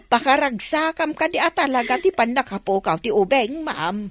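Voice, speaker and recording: An actress playing an obedient, conspiring older household nanny in an Ilocano radio drama. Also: female voice, sits high, expressive, moderate pace, no room sound, studio quality. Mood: conspiratorial.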